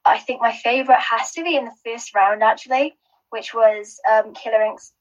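A woman's voice: a little high, fairly even intonation, normal speed. Rambling, giggly woman on a muffled call. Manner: rambling, restarts often, giggly. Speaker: competition finalist guest. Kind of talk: casual chat.

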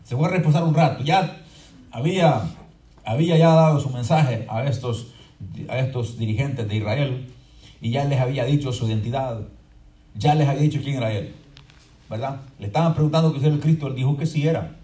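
A man preaching a fervent sermon as pastor, moving between quiet explanation and shouted proclamation and often asking his congregation to agree. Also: medium pitch, a little fast. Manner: often asks the congregation "¿verdad?". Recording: echoey room from far off with faint crowd noise.

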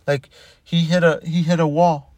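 A man's voice: on the high side, little pitch movement, moderate pace, darkish tone, no echo. A man talking casually in a rambling monologue, restarting and repeating his words often. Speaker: commentator.

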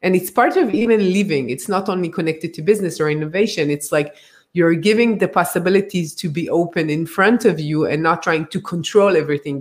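A podcast host and author speaking thoughtfully, rephrasing ideas while talking with a guest. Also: mid-range voice. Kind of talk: conversational podcast interview.

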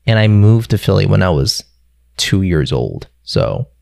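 A young man from Philadelphia talks casually about his childhood, rambling and swearing now and then. His voice sits low with a gravelly edge. The sound is dry and close. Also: big pitch swings, unhurried, a little mellow, no room sound. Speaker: guest. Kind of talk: casual conversation.

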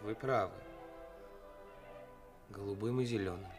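An actor playing a nervous young suitor in a radio play, halting and deferential, with bursts of cynical bravado. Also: male voice, lowish voice, lightly acted. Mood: nervous, hesitant. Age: young adult. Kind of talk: theatrical radio play acting. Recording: 1980s radio play with faint music.

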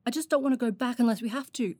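A woman playing an impulsive, stubborn young traveler in an audio drama, casual and quick to argue. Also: a little high, darkish tone, no echo, natural voice. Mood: frustrated, urgent. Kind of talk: naturalistic audio drama acting.

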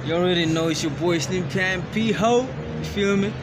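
A man's voice: sits high, brisk, crowd noise. A man, a podcast guest, talking in a laid-back, slangy way and often repeating words. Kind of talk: casual podcast chat.

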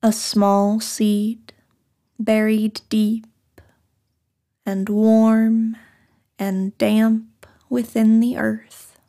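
A woman leading a guided meditation in a soft, breathy, soothing voice, speaking short phrases with long pauses. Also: a little high, flat delivery. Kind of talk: guided meditation, scripted narration.

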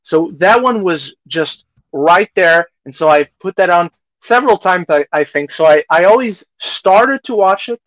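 A male podcast co-host with a fairly high voice, recorded close and dry. He talks in a casual, conversational manner, as if telling stories to a friend. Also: animated, a little slow, hardly any pauses, a bit bright, no echo, low-quality recording. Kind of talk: casual movie chat.

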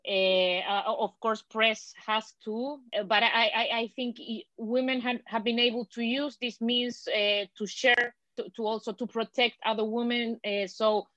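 A woman's voice: on the high side, level tone, unhurried, few pauses, a bit bright, no echo. Hesitant Chilean woman guest. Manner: hesitant, many restarts and uh's. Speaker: podcast guest. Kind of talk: casual interview answers.

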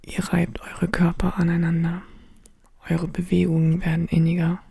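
A woman in an audio drama whispering slow, intimate second-person narration in a breathy voice. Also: fairly low pitch, completely flat delivery, mellow, dry recording, clean studio sound. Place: studio.

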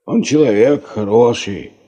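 Actor as slow, husky old grandfather. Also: close to an everyday voice. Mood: gentle, earnest. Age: older. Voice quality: husky, soft, low. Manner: halting short phrases. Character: kindly, anxious old grandfather living in the tundra. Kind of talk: Russian radio play acting.

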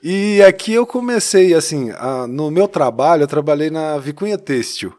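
A man in his late thirties with a Mineiro accent, from Minas Gerais. He tells his story in a loose, chatty way, laughing easily and adding "né?" as he goes. His voice sits slightly high for a man. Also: lively intonation, normal speed, a little mellow. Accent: Mineiro Brazilian Portuguese. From Minas Gerais, Brazil. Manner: chatty, laughs often, many "né?" tags. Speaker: church youth leader, podcast guest. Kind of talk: casual storytelling chat.

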